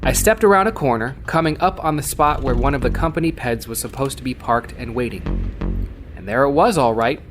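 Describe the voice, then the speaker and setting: A man's voice: medium pitch, very animated, brisk, music in the background, natural voice. A man playing a jaded, wry cyberpunk courier, narrating a gritty first-person audio drama in a world-weary, sardonic manner. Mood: wry, wary.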